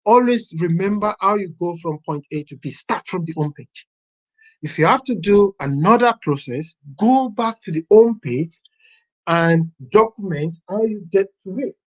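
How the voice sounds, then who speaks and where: Testing teacher clicking thru a site and thinking out loud, muffled lo-fi call sound. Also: medium pitch, expressive, normal speed. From Nigeria. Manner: explains step by step, thinks aloud while browsing. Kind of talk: live class walkthrough. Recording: dull lo-fi online class audio.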